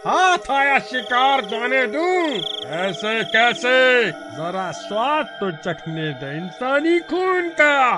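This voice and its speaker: A man voicing a bloodthirsty ghost servant in an animated horror story, with a drawn-out, menacing delivery. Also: very high voice, over music, exaggerated voice. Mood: gloating, hungry. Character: bloodthirsty male ghost minion serving dark master.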